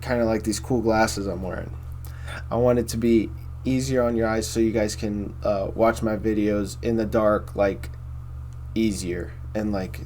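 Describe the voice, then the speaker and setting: A man with a baritone voice hosting a casual philosophy monologue, rambling and repeating himself with many fillers. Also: mellow, a little hiss or hum. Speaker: host.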